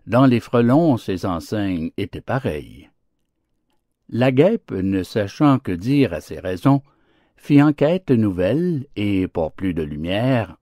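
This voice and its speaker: A low-voiced man narrates fables for an audiobook. His delivery is slow and theatrical, with richly varied intonation and a declamatory manner. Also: no room sound, studio quality. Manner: declamatory, expressive.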